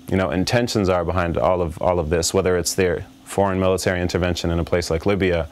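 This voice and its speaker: A man with a very low voice speaks thoughtfully in an interview. He stops and restarts often, filling gaps as he weighs his words. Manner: thoughtful, many fillers and restarts. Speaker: interview guest, rapper. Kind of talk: reflective interview answers.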